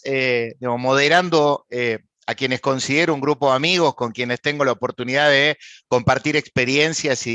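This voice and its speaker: Argentine man, mid-pitched, dry sound, eager moderator who stumbles on fillers. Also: bright, forceful tone, dry recording. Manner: enthusiastic, with frequent hesitations and fillers. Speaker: panel moderator. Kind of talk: introducing panelists at an online event.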